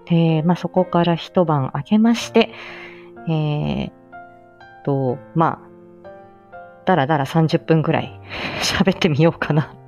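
Low-voiced woman in casual, lo-fi livestream. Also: slow pace, pauses often, a little mellow, soft background music. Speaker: livestream host, speech-language therapist. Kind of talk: casual solo livestream chat.

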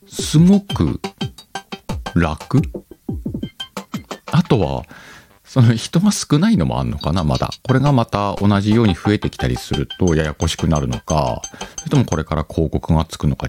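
A man's voice: low voice, very animated, moderate pace, a little mellow. A man hosting a casual solo podcast, thinking aloud as he talks directly to his listeners. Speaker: podcast host. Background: background music.

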